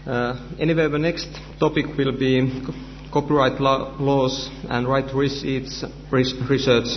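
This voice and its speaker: A man with a Finnish accent, a native Finnish speaker, giving a conference lecture in halting speech with many fillers and restarts. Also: mid-range voice, little pitch movement. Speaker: conference presenter, researcher. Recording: dull, reverberant hall recording with faint hum.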